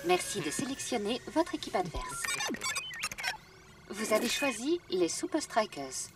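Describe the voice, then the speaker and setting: A woman's voice: fairly high pitch, sing-song, unhurried. A woman playing the polite computer program of a training machine in a French cartoon dub, announcing formally. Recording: distant voice under loud music.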